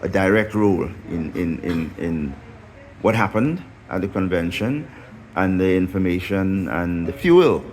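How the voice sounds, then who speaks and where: A man with a somewhat low voice conducts an interview, often restarting his questions and stumbling over repeated words. A faint hiss sits behind his voice. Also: animated, measured pace, a little mellow. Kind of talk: news interview.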